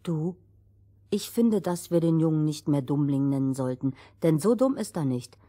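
A woman's voice: low voice, lively intonation, moderate pace, warm tone. A woman playing a naive, cheeky, contrary co-storyteller in a fairy-tale audio play, playful and matter-of-fact. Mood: thoughtful. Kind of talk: acted fairy-tale narration in dialogue.

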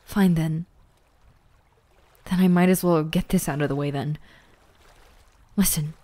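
A woman acts out a young ghoul girl in an audio roleplay. Her voice is soft, mellow and a little low, often slipping into whispers. She speaks very slowly and earnestly, gentle and grieving yet resolute. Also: steady tone. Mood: serious, resigned. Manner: slow, emotional, earnest, with pauses.

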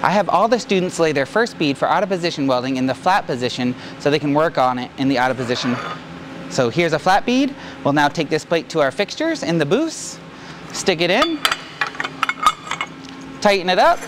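Higher-pitched male welding instructor. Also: expressive, normal speed, noisy background. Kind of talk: hands-on demonstration, talking to camera. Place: large indoor welding shop.

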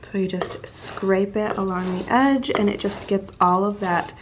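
A woman's voice: mid-range voice, measured pace, noisy background. A woman, giving a casual, explanatory voiceover as a home vlogger.

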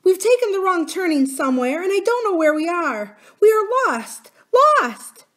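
A woman's voice: very high voice, sing-song, normal speed, pitched above the performer's own voice. A woman voicing a frightened nursemaid who scolds in an urgent, fretful way in a storybook reading. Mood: terrified.

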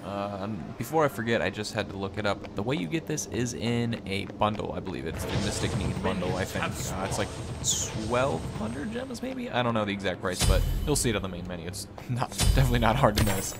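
A young man with a fairly low voice gives casual commentary on a game. He rambles informally and is easily impressed, with music clearly playing behind him. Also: big pitch swings, a little fast. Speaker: gaming video host.

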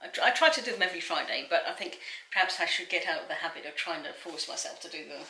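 A woman's voice: deep voice, expressive, fast, bright, forceful tone, echoey room, slight background noise, poor audio. A woman in her fifties hosting a casual crafting chat, chatty with many fillers and agreeing murmurs, filmed on a sofa by a camera across a small room. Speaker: crochet vlogger host.

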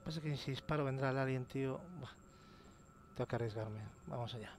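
A man's voice: mid-range voice, fairly quick, noisy background. A man with a Spain Spanish accent, casually commenting on gameplay, swearing and muttering to himself.

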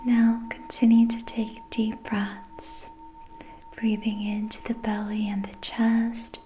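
A young adult woman guiding a relaxation in a soft, breathy ASMR whisper, soothing, gentle and unhurried. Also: fairly high pitch, monotone, slight background noise. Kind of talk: whispered ASMR guided meditation. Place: small dim quiet room indoors.

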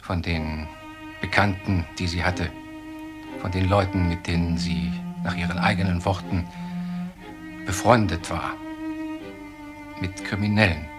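An actor playing a composed, authoritative professor in a radio crime drama, speaking formally with measured pauses. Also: male voice, low voice, fairly even intonation, music in the background. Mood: controlled, grave. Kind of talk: naturalistic German radio crime drama acting.

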